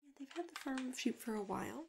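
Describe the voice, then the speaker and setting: A woman doing a soft-spoken ASMR roleplay as a friendly matchmaker, often dropping into a whisper, gentle, chatty and hesitant as she reassures her client. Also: high voice, animated, fast talker, creaky, noisy background. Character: friendly female matchmaker for monsters. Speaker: ASMR host playing a matchmaker. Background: computer keyboard typing and clicks.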